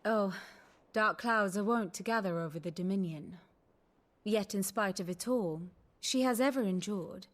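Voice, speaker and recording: A woman playing a worldly scholar in a fantasy game, speaking in formal, eloquent, deliberate phrases. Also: medium pitch, lightly acted. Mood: calm assurance. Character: worldly woman scholar, learned, measured, faintly wry tutor of politics. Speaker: lore tutor character. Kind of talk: cinematic fantasy game acting, expository narration. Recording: loud orchestral music behind.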